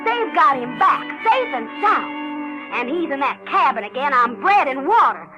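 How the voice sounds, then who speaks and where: A woman's voice: high-pitched, very animated, normal speed, lo-fi sound. A woman playing a folksy, scolding but caring Southern aunt in an audio drama. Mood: indignant. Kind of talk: audio theater acting. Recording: vintage 1970s audio drama with music.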